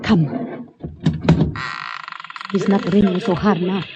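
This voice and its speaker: A woman's voice: mid-range voice, steady tone, slow pace, very noisy, lightly acted. An actress playing a bold, commanding foreign woman on the run in a radio drama, speaking in terse, formal phrases. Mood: urgent, commanding. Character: bold, urgent foreign woman fugitive, admiring and seductive. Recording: muffled old radio recording, music behind.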